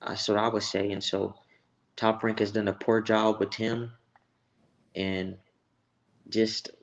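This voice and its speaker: A man's voice: fairly low pitch, little pitch movement, slow, dull audio. An American man from Texas giving blunt, profane opinions in a casual, slangy sports chat. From Texas, United States.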